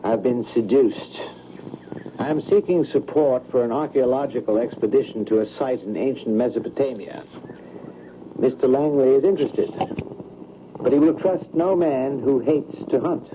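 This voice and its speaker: An actor playing an erudite, bumbling professor who is gentle and formal in an old-time radio drama. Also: male voice, mid-range voice, lightly acted. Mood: measured, wry. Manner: formal, deliberate, bookish. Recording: noisy, tinny old radio recording.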